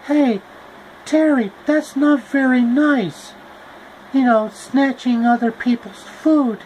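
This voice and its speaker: A man's voice: extremely high pitch, slow pace, darkish tone, a little hiss or hum, pitched above the performer's own voice, rough recording. A man voicing young Dorothy, an upbeat, eager girl in a raised, chirpy voice.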